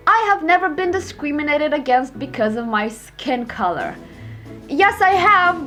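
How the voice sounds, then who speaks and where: A young woman vlogger talks to camera in a high, bouncy voice, reading quiz questions aloud and snapping back with sarcastic, profane answers. Soft music plays faintly underneath. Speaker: YouTuber. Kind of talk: reacting to online quizzes to camera.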